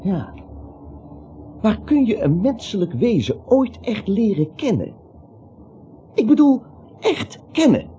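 Jittery little man in a radio play, rambles and stammers, mellow but all over the place, muffled old recording. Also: sits high, slight background noise, exaggerated voice. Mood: fussy, insistent. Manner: dithering, stammering, repeats himself. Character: nervous, rambling, bewildered little man, timid and wry.

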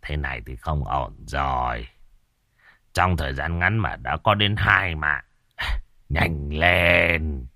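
A man's voice: extremely low pitch, slow pace, bright, forceful tone, no echo, studio quality, voice pushed down, full character voice. A man doing a stammering, grumpy old villager. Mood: irritated. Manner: stammering, gruff muttering.

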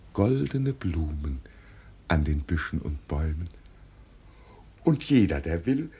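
A man's voice: deep voice, darkish tone, slightly rough, exaggerated voice. A man acting in a German radio drama as a deluded poor old father who believes he is emperor, solemn, grandiose and dreamy. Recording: noisy old radio play recording.

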